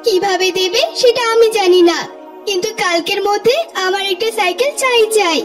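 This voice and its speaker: An eager young cartoon schoolboy acted out by a performer, with an extremely high voice. He is earnest and headstrong, and music plays behind him. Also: moderate pace. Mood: stubborn, angry. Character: eager young schoolboy, pleading then stubbornly demanding. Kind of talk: cartoon voice acting.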